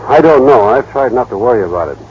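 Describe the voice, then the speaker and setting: A man's voice: medium pitch, animated, fast talker, a little mellow, a little hiss or hum, lightly acted. An actor playing a shy, naive small-town American man in a vintage radio drama, hesitant, boyish and plainspoken. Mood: shy, earnest. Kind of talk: 1930s-40s radio drama acting. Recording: dull, muffled old radio broadcast.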